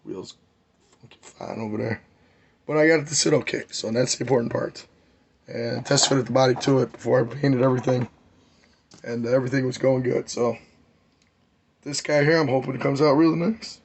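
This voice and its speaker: A man, hoarse and losing his voice, giving a rambling, enthusiastic hobby update on his model car builds. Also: medium pitch, steady tone, moderate pace, pauses often, a little hiss or hum. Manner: rambling, enthusiastic, many fillers.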